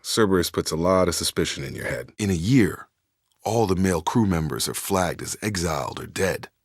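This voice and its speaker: A man's voice: low-pitched, animated, normal speed, husky, dry recording, clean studio sound, exaggerated voice. A man playing a loyal, guarded soldier in a video game, speaking in clipped, matter-of-fact military phrases. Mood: steady, serious. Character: steady, loyal male soldier, guarded and plainspoken. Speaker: video game squad member. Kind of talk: scripted video game dialogue.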